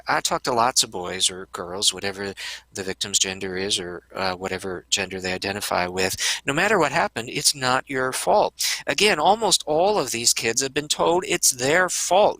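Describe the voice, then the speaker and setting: A man giving an earnest conference talk, often pausing on hesitant fillers. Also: mid-range voice, sharp tone, no echo, poor audio. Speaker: conference speaker.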